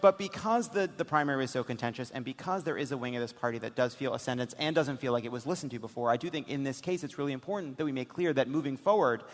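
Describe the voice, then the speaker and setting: A man hosting a live political comedy talk show, speaking emphatically with halting, restarted phrases. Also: mid-range voice. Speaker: host. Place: indoor stage before a live audience. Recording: echoey hall, live stage.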